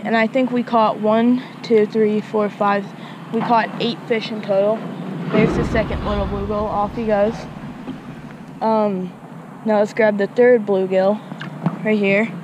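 A woman's voice: mid-range voice, flat delivery, a little slow, dull audio, background noise. Chatty woman vlogging by a creek. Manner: chatty, repeats words, addresses viewers as guys. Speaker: fishing vlogger. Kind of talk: casual outdoor vlog narration. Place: outdoors by a small creek. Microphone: action camera.

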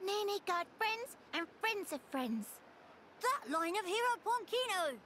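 Squeaky excitable little creature hero. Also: female voice, measured pace, a little hiss or hum, full character voice. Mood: bold, eager. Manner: quirky broken grammar, bouncy declarations. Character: small excitable creature hero, childlike, boastful and cheerful. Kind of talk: video game cutscene acting. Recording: loud music behind the voice.

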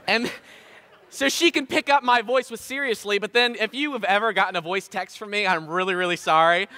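A man in his thirties with a Southern Ohio accent, preaching an animated sermon from a church stage, full of rhetorical questions. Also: high voice, moderate pace. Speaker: pastor. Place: church stage in front of a drum kit. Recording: church hall reverb.

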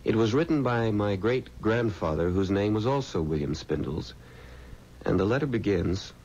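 Man as courteous earnest assistant undertaker, halting emphatic speech, vintage radio drama, dull noisy recording. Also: lowish voice, expressive, a little mellow, natural voice. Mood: solemn, measured. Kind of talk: 1970s radio drama acting.

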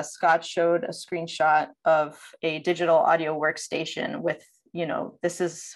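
Low-voiced woman explaining in a presentation. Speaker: audio producer giving a presentation. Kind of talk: explanatory slide presentation on a video call. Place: indoors, on a video call. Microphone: video call microphone.

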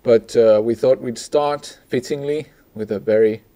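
A man discusses film casually as a podcast co-host, in a hesitant, halting way. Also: mid-range voice, warm tone. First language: Finnish. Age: 40s. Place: small indoor stage with curtains, seated at a table.

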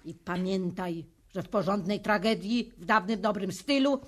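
An actress playing a bossy, pedantic old theatre expert who instructs bluntly in a children's radio play. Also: female voice, medium pitch, moderate pace, over a noisy crowd, lightly acted. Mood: dry, instructing. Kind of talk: 1970s Polish children's radio play acting.

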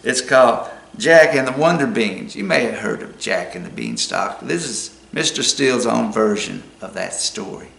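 An older man with an Appalachian accent, reading a children's storybook aloud in an unhurried, folksy way. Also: mid-range voice, lively intonation, gravelly, fairly bright tone, breathy edge. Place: small book-lined room indoors.

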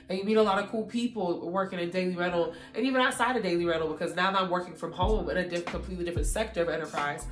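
A young woman vlogging in a casual, encouraging way, her voice hoarse and congested, talking straight to her viewers. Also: fairly low pitch, echoey room. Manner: encouraging, preachy, addresses viewers directly. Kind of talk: casual faith-filled catch-up talk.

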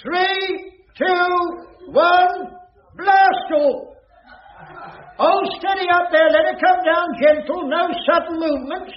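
An actor playing an officious, stubborn older caretaker in a radio sitcom, scolding and pompous. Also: male voice, extremely high pitch, steady tone, slow pace, reverberant. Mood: pompous, commanding. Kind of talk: vintage radio sitcom acting. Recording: muffled, noisy vintage radio recording.

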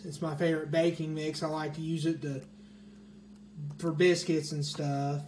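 A man's voice: on the high side, a little mellow. A man casually showing his grocery haul item by item, speaking in a plain, matter-of-fact way into a handheld phone camera at home. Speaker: vlogger. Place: small indoor room at home. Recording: echoey room with faint hiss.

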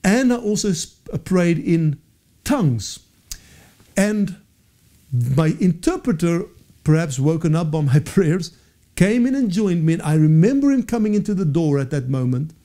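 A man's voice: a little high, very animated, moderate pace, dark tone. A man in his forties whose first language is Afrikaans, teaching a Bible session to camera in a studio with earnest, gesturing delivery and frequent self-corrections. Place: studio with dark backdrop. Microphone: lapel mic.

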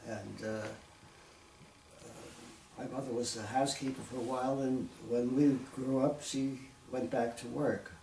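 A man in his nineties from Brooklyn with a Brooklyn accent, recalling his family in an oral history interview, halting and clearing his throat. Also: medium pitch, steady tone, mellow. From Brooklyn, New York. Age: 90s. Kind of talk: reminiscing interview. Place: living room, seated in armchair. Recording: roomy echo, distant mic, faint hiss.

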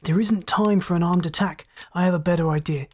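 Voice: mid-range voice, fairly quick, lightly acted. A narrator voicing a determined male space officer who speaks urgently and insistently in a science fiction story.

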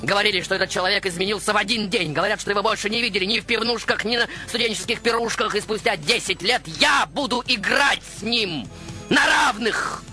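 A man playing a proud, stubborn baroque composer, telling his story as a theatrical radio monologue with rhetorical pauses. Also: high voice, bright, forceful tone, faint music behind. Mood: dramatic, rising. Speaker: radio show host in character. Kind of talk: dramatic first-person radio monologue.

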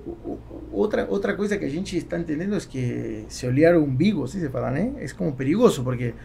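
A man in his forties with a Spanish accent in Portuguese, talking casually and haltingly as a podcast guest. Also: on the high side, animated, creaky, warm tone. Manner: halting, with repeated words. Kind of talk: casual interview talk. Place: small foam-padded podcast studio. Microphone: close studio microphone on boom arm. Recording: faint background noise.

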